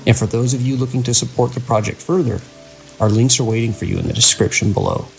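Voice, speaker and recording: A man's voice: mid-range voice, quick pace, gravelly, faint music behind. A man reading a scripted, atmospheric introduction as a channel host.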